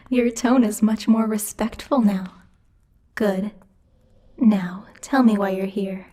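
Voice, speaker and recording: A woman's voice: mid-range voice, mellow. A woman playing a bossy, haughty demon lord who teases and mocks imperiously in a theatrical ASMR roleplay. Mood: cold, mocking. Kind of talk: ASMR roleplay, scripted character acting.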